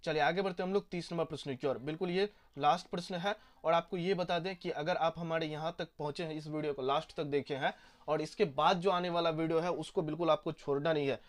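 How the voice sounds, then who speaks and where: A man's voice: on the high side, steady tone, fast. A young man, walking students through exam questions and repeating each answer. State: hoarse. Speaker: teacher.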